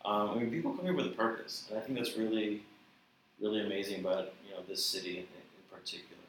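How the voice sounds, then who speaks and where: A man's voice: fairly low pitch, quick pace. A man hosting a casual interview, loose and affirming, asking long rambling questions. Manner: relaxed, loose, affirming with "gotcha" and "mm-hmm". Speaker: interviewer host. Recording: echoey room, distant mic, light noise.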